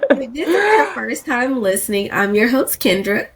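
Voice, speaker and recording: A woman co-hosting a podcast who is getting over a cold and sounds a bit nasal. She talks in a relaxed, friendly way. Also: a little high, normal speed, slightly rough. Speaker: podcast co-host.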